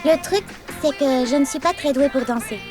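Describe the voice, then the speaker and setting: A woman voicing a kind, modest teenage girl who bakes cookies for her friends in a French cartoon dub, gentle and friendly. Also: sits high, moderate pace, music in the background, lightly acted.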